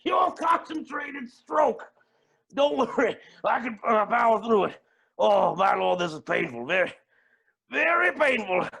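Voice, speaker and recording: Casting: male actor as cocky comic stage villain, bright high voice, wildly swinging delivery, melodramatic and dry. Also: expressive, a little slow, vocal fry, exaggerated voice. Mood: strained bravado. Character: cocky, theatrical billionaire villain, stoic through pain. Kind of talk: staged play reading.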